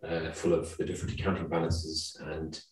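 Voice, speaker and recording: A man in middle age speaks in a very deep register, sounding roomy and distant from the microphone. He is from Zimbabwe and explains his points conversationally, pausing to find words as an interview guest. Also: monotone. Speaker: podcast guest.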